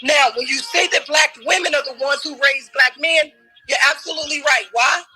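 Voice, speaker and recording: A woman's voice: sits high, steady tone, moderate pace, soft background music. A woman arguing in a heated debate, emphatic and challenging, and losing her voice. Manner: forceful, emphatic, challenging questions.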